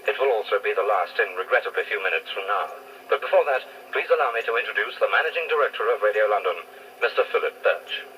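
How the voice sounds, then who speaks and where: Male continuity announcer, formal, forceful tone, slightly deep, far-off vintage radio sound. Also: quick pace. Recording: distant, hissy archive 1960s radio recording.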